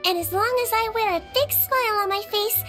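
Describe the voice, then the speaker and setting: A woman voicing a small, gentle young girl nurse of a fairy-like species, polite and composed, in anime video game dialogue. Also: extremely high pitch, brisk. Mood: calm, sincere. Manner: composed, courteous, matter-of-fact. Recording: music bed behind the voice.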